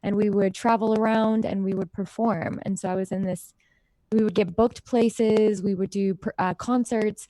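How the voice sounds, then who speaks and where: A woman's voice: mid-range voice, steady tone, normal speed, mellow, dry recording. An American woman chatting casually and informally as an interview guest, telling personal stories in a friendly way. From United States, raised in Idaho and Utah. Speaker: interview guest, singer-songwriter. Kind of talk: casual chat, personal storytelling.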